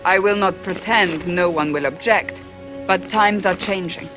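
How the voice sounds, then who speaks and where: An actress playing an earnest, resolute warrior adviser in a fantasy video game, speaking in a solemn, measured way. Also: female voice, lowish voice, natural voice. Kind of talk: dramatic video game acting. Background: game music.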